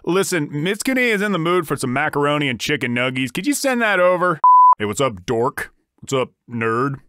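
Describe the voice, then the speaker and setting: Cocky, dim anime teen played by a man, slangy parody dub, higher-pitched, very lively delivery. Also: brisk. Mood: goofy. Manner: slangy, rambling, goofy mock bravado. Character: cocky, dim, teasing teenage anime tough guy.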